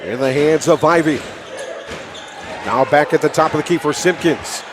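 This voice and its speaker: A man calling live basketball play-by-play for radio in clipped phrases, excitable and raising his voice on big plays. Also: fairly high pitch, normal speed. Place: indoor high school gymnasium. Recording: live from noisy gym, crowd behind. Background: crowd and bouncing basketball.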